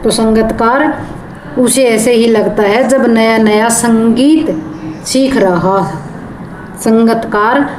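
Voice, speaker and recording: Slow-paced woman teacher, echoey room. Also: a little high. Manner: repeats and rephrases, glossing words. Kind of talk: explaining a poem line by line. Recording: echoey room with background noise.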